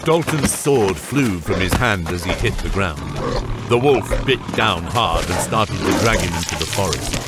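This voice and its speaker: Low-voiced male narrator over loud music. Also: expressive, a little slow. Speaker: audiobook narrator. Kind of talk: scripted fantasy narration.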